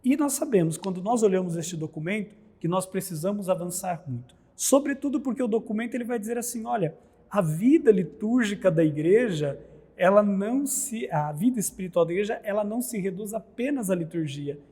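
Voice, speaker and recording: A man's voice: high voice, expressive, a little fast, dark tone, slightly rough. A man from Brazil, a priest and liturgy scholar, explaining earnestly in a lecturing way with rhetorical questions. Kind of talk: explanatory religious talk. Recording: long hall reverb.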